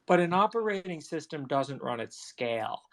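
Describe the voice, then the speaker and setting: A man's voice: on the high side, big pitch swings, moderate pace, vocal fry. A man debating technical matters casually, with a blunt, interjecting manner.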